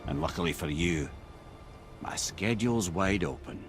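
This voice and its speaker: A cocky, witty captive sage in a fantasy game. His lowish voice swings widely as he holds forth like a showy storyteller. Quiet music plays behind him. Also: animated, moderate pace. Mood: theatrical, explaining. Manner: theatrical, boastful, storytelling.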